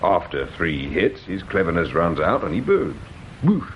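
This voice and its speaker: Police inspector, deep voice, wry, measured, sceptical, radio drama, slightly muffled recording. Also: male voice, very animated, a little hiss or hum. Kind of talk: BBC radio detective drama acting.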